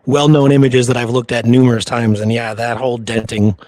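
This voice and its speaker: Man, mid-range pitch, podcast host, informal history discussion, conversational, dry sound. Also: flat delivery, brisk, darkish tone, no echo.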